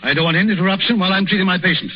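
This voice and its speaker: Guy doing a stuffy stern old senior doc in a 30s radio play, kinda high voice, muffled. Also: fairly even intonation, racing, lightly acted. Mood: outraged. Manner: pompous, indignant, authoritative. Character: stern, pompous older male senior physician. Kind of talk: 1930s radio drama acting. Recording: muffled, noisy 1930s radio broadcast.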